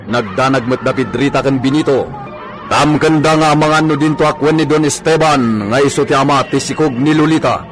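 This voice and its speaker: A man's voice: mid-range voice, steady tone, a little fast. A man with a Filipino accent giving scripted dramatic narration for a radio serial over music.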